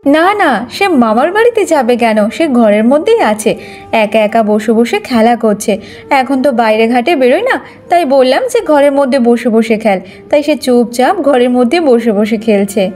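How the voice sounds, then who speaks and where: A woman's voice: on the high side, animated, moderate pace, darkish tone, soft background music. A woman voicing a talkative, aggrieved young village wife in a moral-story cartoon, with a plaintive way of talking. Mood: friendly chat.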